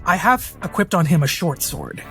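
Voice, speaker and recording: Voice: mid-range voice, sing-song, moderate pace, pushed and bright, soft background music. A player in a tabletop game, talking casually and thinking aloud about their character's moves.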